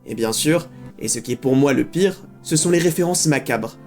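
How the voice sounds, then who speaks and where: A man reading scripted documentary-style narration for a video essay, in a measured, ominous storytelling manner. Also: medium pitch, darkish tone. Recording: music under the voice. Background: background music.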